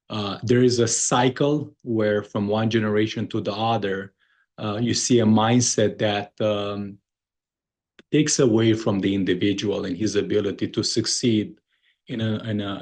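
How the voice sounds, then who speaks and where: A middle-aged man from Romania with a Romanian accent, speaking earnestly as an interview guest, often pausing on "uh" and restarting his sentences. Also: lowish voice, fairly even intonation, a little mellow. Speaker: guest, moving company founder. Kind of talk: conversational interview.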